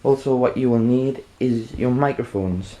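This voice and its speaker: A man presenting an informal how-to demonstration, halting and rambling, often checking in with the listener. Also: medium pitch, dark tone, a little hiss or hum. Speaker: video tutorial presenter.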